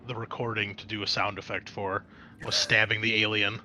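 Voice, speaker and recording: A man's voice: lowish voice, flat delivery, a little fast, bright, forceful tone, slight background noise. A man running a tabletop game as game master, narrating in a casual way with some hesitant phrasing.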